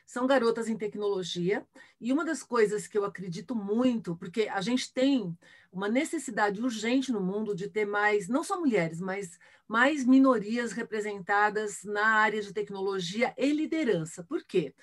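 A woman's voice: medium pitch, animated, moderate pace. A middle-aged woman from Brazil with a Brazilian accent, answering conversationally in an interview with explanations and personal anecdotes, often checking for agreement. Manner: explanatory, frequent tag questions seeking agreement. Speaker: interview guest, engineer and tech ambassador.

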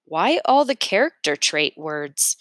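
A woman voicing a small, bright, inquisitive schoolboy in a storybook, questioning and matter-of-fact. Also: low-pitched, very animated, measured pace, no echo. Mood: curious.

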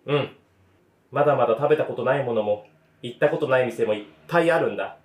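A man's voice: medium pitch, quick pace, natural voice. Man acting hesitant thirtysomething guy, echoey room. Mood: relaxed, hopeful. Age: 30s. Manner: hesitant, reflective, understated. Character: weary, self-doubting 35-year-old male cafe owner. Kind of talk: radio drama dialogue. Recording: roomy, echoing voice recording.

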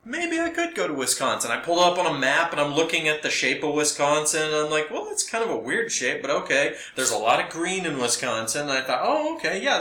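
A man's voice: fairly high pitch, sharp tone, a little hiss or hum. An American man chatting casually as a podcast co-host, telling stories in a jokey way and acting out bits of conversation. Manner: jokey, acts out lines from conversations. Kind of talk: casual chat, anecdotes and joking. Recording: roomy, echoey sound.

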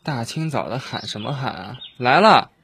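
Man playing a cheeky young tavern lad, mid-pitched. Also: animated, moderate pace, a bit bright, breathy edge, exaggerated voice. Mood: grumbling. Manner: theatrical, wheedling. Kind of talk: Chinese audio drama acting.

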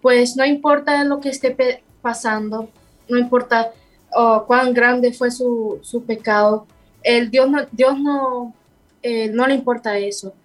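Young woman, halting speech. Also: a little high, fairly even intonation. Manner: halting, searching for words, apologizes for her Spanish. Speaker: singer and bassist of a worship band. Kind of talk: interview guest.

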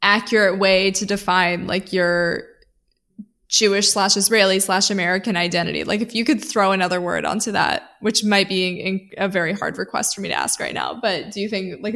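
Relaxed rambling woman podcast host. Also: mid-range voice, brisk, vocal fry. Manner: relaxed, rambling, swears casually. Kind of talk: casual interview.

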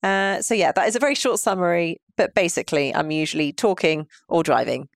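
Brief: London woman in her 30s, podcast co-host, smooth and lowish, dry close mic, easygoing and quick to laugh. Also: dry recording. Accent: London English. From London, England. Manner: chatty, laughs often. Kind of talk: casual podcast chat.

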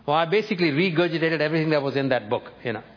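A man in his seventies, born and raised in North India, preaching a sermon by telling his own life story in a measured, storytelling way. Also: fairly high pitch, expressive, dull audio. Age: 70s. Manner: personal storytelling, measured with emphatic lifts.

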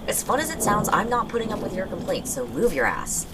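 An actor playing a dry, unflappable, bossy young friend in an audio drama. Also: female voice, medium pitch, big pitch swings, fairly quick, noisy background. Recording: loud background ambience.

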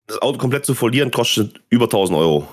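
A man's voice: medium pitch, expressive, quick pace, slightly rough. A man with a stuffy nose from a cold, co-hosting a podcast and teasing and pushing back in casual chat. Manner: teasing, skeptical, pushes back. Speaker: podcast co-host.

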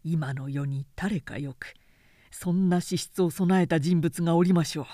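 A woman's voice: lowish voice, moderate pace, mellow, no echo, studio quality, natural voice. A woman voicing a humble, cautious man in an audiobook, speaking politely in a subdued, deferential way. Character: humble, cautious man feigning timidity before a warlord.

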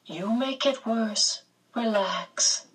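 A woman voicing a gentle, grave tentacled alien beast in an audiobook, speaking deliberately and haltingly as it searches for words. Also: a little high, breathy edge, recorded from a distance, voice pushed up, full character voice. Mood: calm, firm. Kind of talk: audiobook character voice.

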